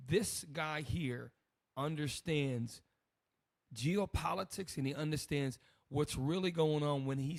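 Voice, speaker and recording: A man, hoarse today, hosting a loose, rambling preaching commentary. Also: medium pitch, dry recording, clean studio sound. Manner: loose and rambling, many restarts. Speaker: host.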